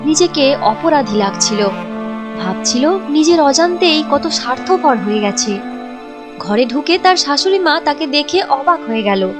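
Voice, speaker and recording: A woman's voice: high-pitched, expressive, unhurried, over music. A woman narrator reading a scripted cartoon story.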